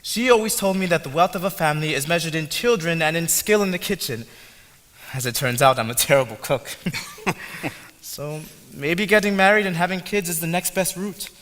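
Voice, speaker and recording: A man's voice: on the high side, moderate pace, a bit bright, natural voice. An actor playing a polite, earnest young immigrant man who is lost and on his way to the city. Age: young adult. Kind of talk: student stage play. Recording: reverberant hall, faint noise.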